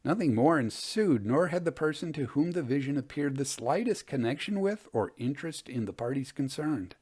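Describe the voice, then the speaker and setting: A man hosting and reading old ghost tales aloud in a measured, deliberate way. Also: mid-range voice, expressive, a little mellow, husky. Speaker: host and reader of a ghost story podcast.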